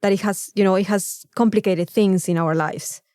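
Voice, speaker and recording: A woman's voice: medium pitch, fairly even intonation, normal speed, dark tone, dry recording, clean studio sound. A woman with a Mexican accent, a native Spanish speaker, talking candidly and casually as a podcast co-host and repeating phrases for emphasis.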